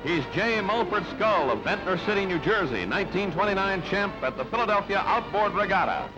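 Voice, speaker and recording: High-pitched man narrating a newsreel over loud music. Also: animated, brisk. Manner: clipped headline phrasing, dramatic announcer delivery. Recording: dull band-limited newsreel audio, loud music.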